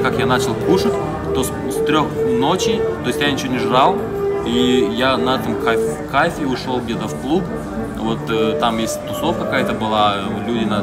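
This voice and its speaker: A young man from Moldova telling a rambling, slangy story as a testimonial, filmed indoors in a hotel lobby. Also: lowish voice. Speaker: training participant giving a testimonial. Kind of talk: casual testimonial. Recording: loud backing music.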